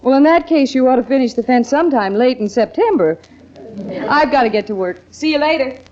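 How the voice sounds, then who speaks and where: A high-voiced, lively young woman. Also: brisk. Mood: amused, helpful. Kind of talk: old-time radio comedy-drama acting. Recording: dull old radio recording.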